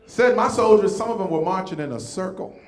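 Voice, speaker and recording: Fifties guy doing stand-up, high voice that bounces all over, echoey room. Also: fairly quick, background noise. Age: 50s. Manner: animated storytelling, acting out voices. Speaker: stand-up comedian. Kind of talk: stand-up comedy set. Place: comedy club stage with live audience.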